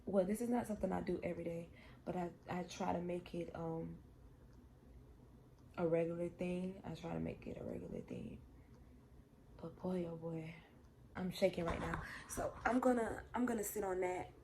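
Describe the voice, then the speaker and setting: A woman's voice: lowish voice, animated, moderate pace, creaky, long pauses, mellow, slight background noise. A woman, chatting casually and heartfelt in a vlog, rambling and repeating herself. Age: 20s. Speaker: vlogger.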